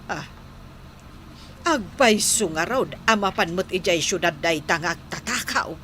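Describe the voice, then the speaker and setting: A woman's voice: fairly low pitch, animated, measured pace, fairly bright tone, slight background noise. A Filipino actress playing a protective, suspicious, chiding mother in a radio drama. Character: protective, suspicious mother of a college-bound daughter.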